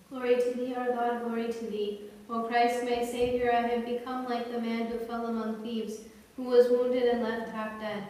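A woman's fairly high, even voice delivers liturgical prayer with measured solemnity. She is heard from a distance in a large hall, with long, heavy reverberation around every phrase. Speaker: church reader and chanter. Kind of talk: liturgical reading and chanting. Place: large reverberant indoor space. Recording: echoey church-like hall, distant microphone, faint noise.

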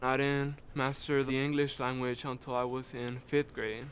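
A man's voice: medium pitch, over music. A young man, a native Spanish speaker, talking reflectively in a documentary interview. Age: young adult. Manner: slow, flat, reflective.

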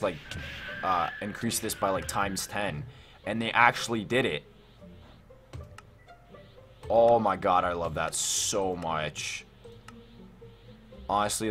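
A man hosting a casual gaming let's play, thinking aloud in an excitable, repetitive way. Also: on the low side. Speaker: gaming video host. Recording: music behind the voice.

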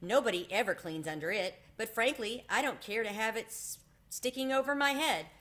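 A woman's voice: fairly high pitch, moderate pace, pitched above the performer's own voice. A woman voicing an eager, proud young male mouse with an emphatic delivery in a storybook. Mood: thoughtful. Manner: emphatic, excitable.

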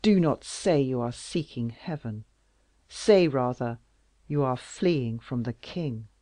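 A reader playing a passionate, powerful king who declaims loudly in a slow audiobook reading, recorded dry and close. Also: medium pitch, animated, no echo. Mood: pleading passion. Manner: loud, impassioned, declamatory. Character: passionate powerful male king, lovesick and wrathful.

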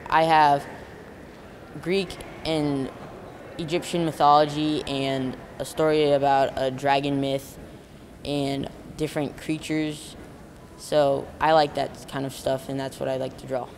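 A man's voice: a little high, little pitch movement, a little slow, slight background noise. A young man speaking plainly and shyly about his drawing.

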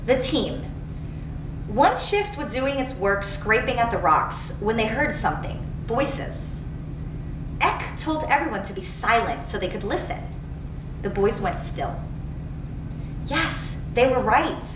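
A woman's voice: on the high side, big pitch swings, slow pace, long pauses. A woman reading scripted narration aloud from a book. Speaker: reader in a school community read-aloud. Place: indoors, seated before a cave backdrop. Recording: roomy, distant mic with clear background noise.

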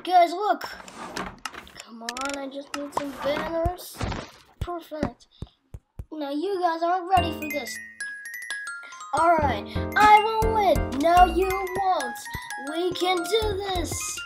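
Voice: extremely high pitch, slow. A child improvising an amateur voice-over for a cartoon. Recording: music behind the voice.

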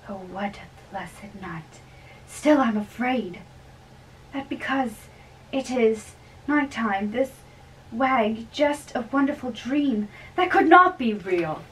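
A woman playing a lovestruck, anxious young maiden in an amateur stage-play reading, theatrical and halting, recorded from a distance. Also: on the high side, lively intonation. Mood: hushed longing. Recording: distant mic with background hiss.

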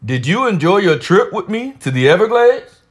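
A man's voice: high-pitched, big pitch swings, moderate pace. A man playing a cheerful, kindly old grandpa in a children's storybook, hearty and encouraging. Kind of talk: children's storybook read-aloud.

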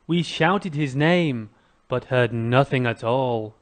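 A man's voice: mid-range voice, slow pace. A man with an American accent narrating a scripted children's cartoon story.

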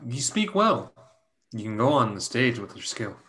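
A man's voice: medium pitch, big pitch swings, normal speed, a bit bright, a little hiss or hum. Man playing an insinuating, coaxing flirt. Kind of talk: dramatic dialogue in a play reading. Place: indoors at home on a video call.

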